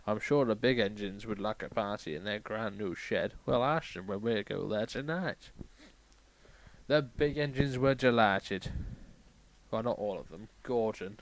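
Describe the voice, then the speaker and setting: A man playing a crabby, boastful railway boss who reads a storybook aloud with irreverent, deadpan asides. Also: on the low side, measured pace, rough recording. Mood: grumbling. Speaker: comic storybook reader. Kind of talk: irreverent ad-libbed storybook reading.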